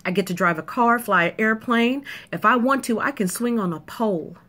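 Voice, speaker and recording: A woman's voice: a little high, moderate pace, a bit bright. A woman delivering an emphatic, punchy opinion monologue to camera, repeating points for effect. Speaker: video commentator.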